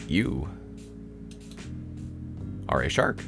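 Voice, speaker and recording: A man's voice: extremely slow, over music. A man voicing a sardonic, disappointed young male protagonist, narrating a visual novel in a dry, incredulous way. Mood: bemused.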